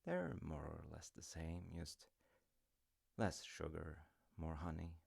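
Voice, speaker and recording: A man acts out an affectionate, easygoing father in a cozy audio roleplay. His voice sits very low with a gravelly creak, and it moves up and down in a lively way. He speaks softly and tenderly, often dropping toward a breathy half-whisper. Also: slow, heavily acted. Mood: playful, cozy. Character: gentle, affectionate mythical dad, homely and easygoing. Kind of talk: intimate comfort audio roleplay.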